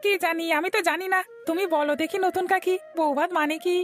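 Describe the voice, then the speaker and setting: Woman as young cartoon boy, very high voice, chirpy, earnest, loyal big brother, music behind. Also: fairly even intonation, quick pace. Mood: curious. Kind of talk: children's cartoon dialogue. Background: background music.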